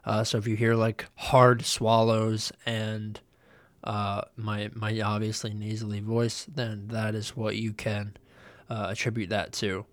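A man's voice: lowish voice, completely flat delivery, unhurried, no echo. A young man hosting a casual solo podcast, nasal and congested from a cold, rambling loosely with lots of ums and uhs. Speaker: podcast host.

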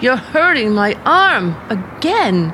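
A troubled young niece in a radio thriller, earnest and wounded. She speaks slowly in a medium-pitched voice with very lively intonation, with faint music behind. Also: rough recording. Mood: pleading. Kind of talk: dramatic radio play acting.

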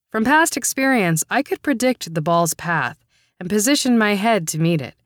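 A woman audiobook narrator reading a first-person memoir in a measured, deliberate way, with a clear, smooth voice. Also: lowish voice, no echo, studio quality.

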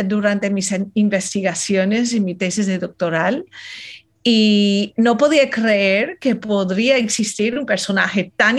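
A woman translator and scholar who grew up in the Philippines and California, answering interview questions reflectively with frequent restarts. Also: mid-range voice.

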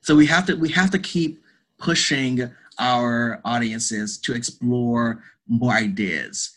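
A man with a bright, forward voice gives conversational interview answers. He is earnest and emphatic, often repeating a phrase to drive it home. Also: mid-range voice, slow pace. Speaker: guest, theatre director and artist.

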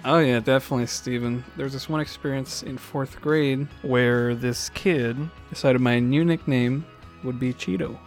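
A man's voice: mid-range voice, fairly even intonation, moderate pace, faint music behind. A young man casually interviewing students outdoors on a college campus, asking short friendly questions.

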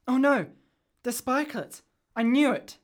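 A man's voice: extremely high pitch, expressive, measured pace. A man playing an earnest, adventurous schoolboy inventor in a radio drama, speaking in declarations. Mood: alarmed.

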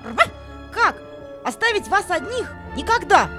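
A woman playing a loyal, excitable dog who blurts out exclamations in a children's audio play. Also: extremely high pitch, a little slow, over music, full character voice. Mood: indignant.

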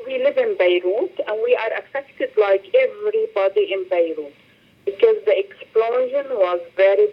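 Woman, middle-aged, halting repeated phrasing, dull muffled phone audio. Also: medium pitch. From Lebanon. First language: Arabic. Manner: halting, repeats words while searching for phrasing. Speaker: director of a humanitarian organization. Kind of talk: interview over the phone.

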